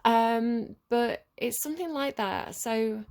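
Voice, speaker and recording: A woman's voice: a little high, takes it slowly, darkish tone. A woman with a head cold, giving a rambling, hesitant tarot reading. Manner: rambling and hesitant, many ums and restarts. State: congested with a cold, sniffly. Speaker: tarot reader. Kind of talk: casual collective tarot reading to camera.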